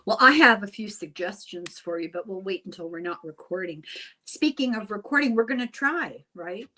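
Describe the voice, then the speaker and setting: A woman hosts an informal conversation in a medium-pitched voice. She talks casually, as in an everyday chat with a guest. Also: lively intonation, moderate pace, pushed and bright, low-quality recording. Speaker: host.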